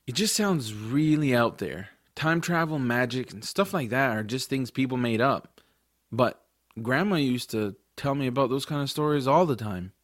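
A man's voice: mid-range voice, moderate pace, no echo, studio quality, lightly acted. A man playing an adventurous, skeptical young boy in an audiobook drama, telling his story expressively. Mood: skeptical, casual. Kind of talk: audiobook first-person narration and acted dialogue.

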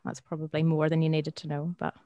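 Northern irish lady, kinda low voice, dry close sound, chatty podcast guest. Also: monotone, fast, no echo, dull audio. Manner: practical, friendly, many ums. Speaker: schools worker guest. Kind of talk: casual podcast chat.

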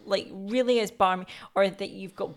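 A woman's voice: mid-range voice, steady tone, moderate pace. A woman with a Scottish accent co-hosting a podcast, talking in a chatty, reflective way and often chiming in with agreement. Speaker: podcast co-host. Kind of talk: casual business chat.

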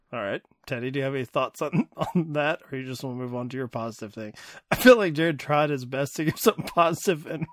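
A man's voice: medium pitch, fast, no room sound, studio quality. Wry man hosting a film chat. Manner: wry, rambling, laughs often. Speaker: podcast host. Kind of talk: casual movie-review chat.

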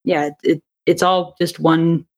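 A woman in a casual interview chat, talking in an animated way and acting out bits of quoted thought as she explains her creative work. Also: lowish voice, takes it slowly. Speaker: interview guest, audio drama writer and director.

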